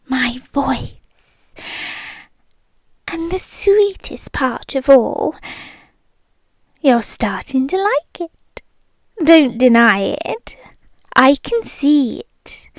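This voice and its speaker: A woman's voice: sits high, slightly rough, no echo, full character voice. A woman with a British accent voicing an obsessive, possessive rich young woman in a whispered ASMR roleplay. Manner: soft whispered, slow, purring menace.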